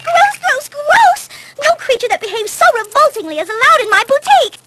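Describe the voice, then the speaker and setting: A woman's voice: extremely high pitch, sing-song, fairly quick. A woman voicing a fussy, dramatic young lady pony in a cartoon, prim, haughty and theatrical. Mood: disgusted. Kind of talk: animated cartoon acting. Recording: loud music behind the voice.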